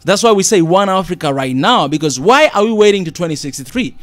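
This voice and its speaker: An earnest man from Tanzania talks with real conviction and keeps repeating his words for emphasis. His voice sits high for a man. He jumps in with quick agreement when others speak. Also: expressive. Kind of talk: passionate conversation.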